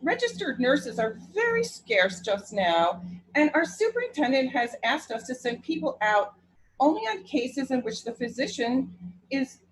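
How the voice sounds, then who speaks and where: A woman acts out a formal, officious switchboard clerk for a radio drama. Her high voice rises and falls in a very animated way, courteous and businesslike, over faint background noise. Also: measured pace, rough recording. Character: polite, businesslike female telephone clerk, brisk and unruffled. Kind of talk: radio play acting.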